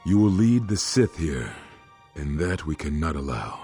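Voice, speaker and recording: A grim Jedi master with an extremely deep voice. He is somber and wary in this game drama, with clear music behind. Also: very animated, warm tone, exaggerated voice. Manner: somber, measured. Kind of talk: video game drama acting.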